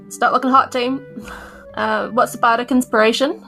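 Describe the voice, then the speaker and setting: A female game player speaks casually. Her voice is fairly high with a noticeable creak. Faint music sits behind her. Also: steady tone, moderate pace, sharp tone.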